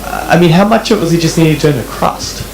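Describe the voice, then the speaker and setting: A man from the audience, an academic, asking a long, winding question that grows animated. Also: on the high side, quick pace, reverberant, music in the background. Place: club event room.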